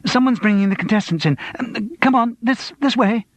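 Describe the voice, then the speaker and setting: A man's voice: mid-range voice, expressive, normal speed, a bit bright, breathy edge, no echo. A man voicing a flustered, anxious woman from a TV production team in an audiobook, with an urgent, hissing manner. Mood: panicked. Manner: urgent, breathless hissing and gasping. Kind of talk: audiobook character dialogue.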